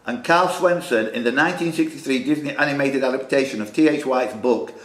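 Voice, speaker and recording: A man's voice: medium pitch, a little fast, a bit bright. A man hosting a quiz in a club room, reading out questions and bantering in a jokey, teasing way. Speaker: quiz host. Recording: echoey room recording with background noise.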